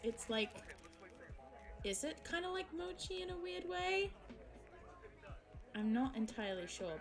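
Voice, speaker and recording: A young woman streamer chatting casually with viewers, giggling and teasing herself, her voice a little low for a woman and her throat sore. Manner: chatty, giggly, self-mocking. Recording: music playing behind the voice.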